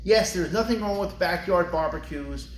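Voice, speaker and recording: A man's voice: high voice, moderate pace. An American man giving a solemn, earnest commemorative speech, formally read aloud as a librarian presenting a holiday reading. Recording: echoey room with faint background noise.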